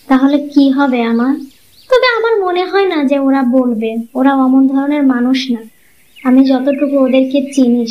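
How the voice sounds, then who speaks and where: A woman with a Bangladeshi Bengali accent voicing a jealous, scheming young wife in a cartoon, talking in complaining, quick retorts. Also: sits high, lightly acted. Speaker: cartoon voice actor. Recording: dull lo-fi sound.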